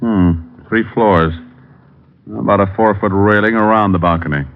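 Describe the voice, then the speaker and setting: Wisecracking low-voiced radio private eye. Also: male voice, unhurried, a little hiss or hum. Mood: probing, casual. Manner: flippant, teasing. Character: wisecracking, breezy male private detective narrating his case. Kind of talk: 1940s radio detective drama acting. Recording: muffled old-time radio recording, music.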